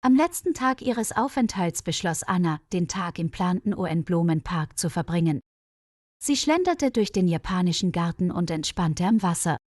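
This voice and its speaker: A woman reading a scripted audio story for language learners in a measured, clearly phrased storytelling manner. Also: fairly low pitch, a little mellow, dry recording, studio quality. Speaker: narrator of German-learning stories.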